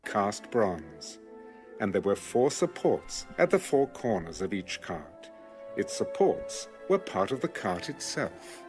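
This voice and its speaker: A man delivers a scripted scripture reading. His phrasing is measured and formal, yet the pitch moves expressively. Faint music plays behind him. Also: very animated. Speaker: Bible audiobook narrator.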